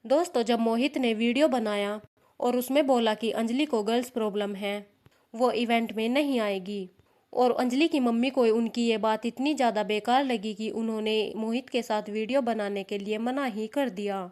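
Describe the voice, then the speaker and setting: A woman's voice: a little high, normal speed, a little mellow. A woman narrating scripted social media commentary, addressing viewers in an opinionated, admonishing way. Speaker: narrator of a social media gossip news channel.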